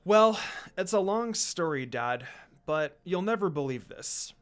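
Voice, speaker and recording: A man's voice: high voice, lively intonation, a little slow, smooth. Man reading as a cheerful, affectionate teenage anime heroine. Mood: warm, earnest.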